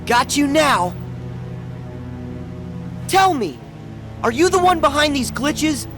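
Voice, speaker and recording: An eager teenage boy hero in a video game, brash and impulsive. His pitch jumps widely, and clear music plays behind him. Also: very animated, slow pace, heavily acted. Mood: bold, challenging. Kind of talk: video game cutscene acting.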